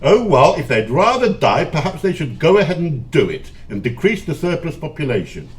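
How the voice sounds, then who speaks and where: A man's voice: fairly high pitch, very animated, normal speed. A man acting a miserly, grumpy old businessman in an audio play, curt, scornful and dismissive.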